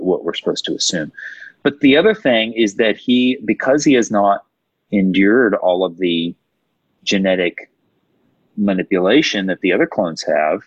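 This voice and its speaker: A man's voice: sits low, husky. A man hosting a casual panel discussion, thinking aloud and rephrasing his points as he talks. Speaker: podcast host. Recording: roomy echo, dull lo-fi sound.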